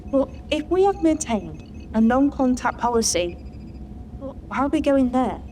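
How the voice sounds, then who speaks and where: A woman's voice: high-pitched, animated, a little slow, dark tone, a little hiss or hum. A woman playing a blunt, suspicious starship master chief in a science fiction audio drama. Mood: blunt, questioning. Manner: blunt, challenging.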